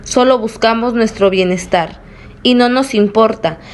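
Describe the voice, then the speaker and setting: A woman's voice: a little high, measured pace. Young woman reading reflective commentary. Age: young adult. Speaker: student presenter.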